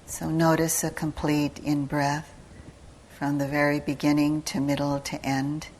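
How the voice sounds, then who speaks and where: Very slow, low-voiced woman guiding meditation. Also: slight background noise, low-quality recording. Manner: calm, measured, with long pauses between short phrases. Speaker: meditation teacher leading a guided session. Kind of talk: guided meditation instruction. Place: meditation retreat hall.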